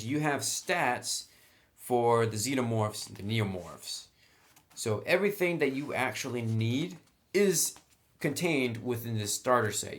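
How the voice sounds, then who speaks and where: A man giving a casual spoken review of a tabletop game, enthusiastic, with lots of "actually" and "really" and quick restarts. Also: mid-range voice. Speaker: product reviewer.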